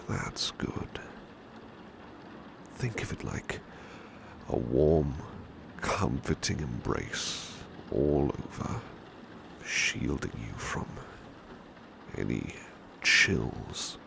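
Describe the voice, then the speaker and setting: A very deep, heavily creaky British gentleman butler acted by a man murmurs in a slow, soft ASMR style. He is courteous and protective, with old-fashioned formal phrasing that drifts into whispers. Steady rain noise sits behind the voice. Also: heavily acted. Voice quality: very deep, gravelly, breathy whispery edge. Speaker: ASMR roleplay performer. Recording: clear background noise, ambient rain sound. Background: rain ambience.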